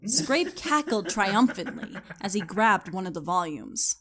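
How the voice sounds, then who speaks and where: A woman's voice: medium pitch, very animated, a little slow, a bit bright. A woman reading scripted story narration as the narrator. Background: music.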